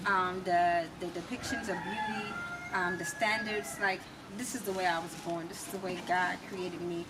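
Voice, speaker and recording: An American woman telling her personal story as an interview guest, emphatic and reflective, in short deliberate phrases. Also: fairly low pitch, steady tone. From United States. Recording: distant mic, clearly noisy background.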